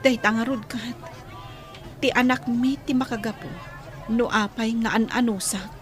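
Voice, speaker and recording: A woman's voice: on the high side, fairly even intonation, moderate pace, a faint crowd, lightly acted. An actress playing a stressed, exasperated young wife and mother in an Ilocano radio drama. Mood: weary.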